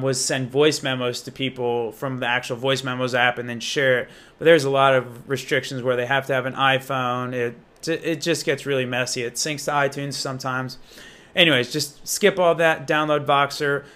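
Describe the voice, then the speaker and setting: Young man, American accent, medium pitch, crisp bright edge, casual demo style, informal enthusiastic delivery. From Indiana, United States. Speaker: app reviewer and demonstrator. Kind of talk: casual unscripted app walkthrough.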